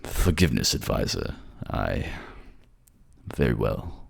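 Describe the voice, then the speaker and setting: A man's voice: rumbling low, very animated, slow, vocal fry, slightly rough, pitched below the performer's own voice, heavily acted. A man playing a proud, ruthless, volatile king in a fantasy audio drama, with a menacing, formal manner. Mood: softening.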